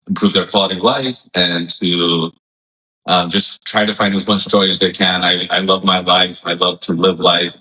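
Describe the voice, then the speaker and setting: Man, forties, from Hawaii, very low voice, crisp bright tone, relaxed friendly guest. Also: low-quality recording. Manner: friendly, easygoing, with fillers. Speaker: physical therapist guest. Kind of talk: casual interview.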